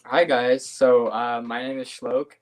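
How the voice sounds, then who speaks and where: Young guy on a video call, mid-pitched voice, upbeat and eager. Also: flat delivery, unhurried. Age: young adult. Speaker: panelist, university student.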